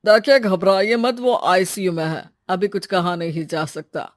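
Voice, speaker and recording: A woman acts out a policeman in a story drama, calm and official. The voice is dropped low and stays measured and reassuring. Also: no echo, clean studio sound, close to an everyday voice. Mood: calm, grave. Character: calm, official policeman bearing bad news.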